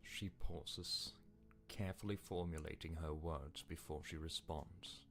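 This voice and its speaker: A man voicing a grave, commanding, wary narrator in a story game, with measured, ominous delivery. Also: a bass voice, fairly even intonation, fairly bright tone, soft background music, full character voice.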